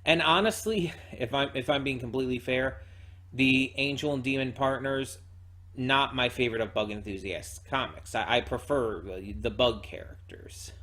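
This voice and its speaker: A man hosting a reaction channel, giving casual spoken review commentary in a halting way, repeating words as he thinks aloud. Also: mid-range voice, bright, forceful tone.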